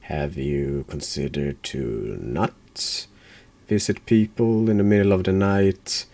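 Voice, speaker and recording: A man hosting a horror game playthrough, replying casually in a dry, deadpan manner. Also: low-pitched, slow pace. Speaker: video game player reacting as host.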